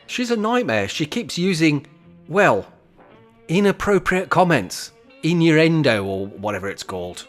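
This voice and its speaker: A man acting in an amateur radio play as a sentimental older widower, speaking in an earnest, halting, reflective way. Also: a little high, sing-song, soft background music. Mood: flustered. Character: sentimental, guilt-ridden older widower in a care home.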